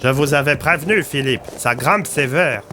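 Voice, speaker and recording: A man's voice: medium pitch, normal speed, noisy background, pitched above the performer's own voice, lightly acted. A man voicing a plain-spoken police commissioner guiding a hike in an audio drama. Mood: matter-of-fact.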